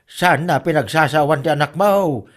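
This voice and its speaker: A woman's voice: sits low, normal speed, close to an everyday voice. A woman with an Ilocano Filipino accent playing an exasperated, confrontational villager in a radio comedy drama.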